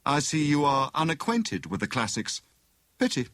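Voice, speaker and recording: A man's voice: fairly high pitch, sing-song, normal speed, a bit bright, no echo, pitched above the performer's own voice. A man voicing a cheerful, flippant young adventurer in an audiobook, bantering breezily. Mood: mock-sad teasing. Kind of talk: dramatised audiobook dialogue.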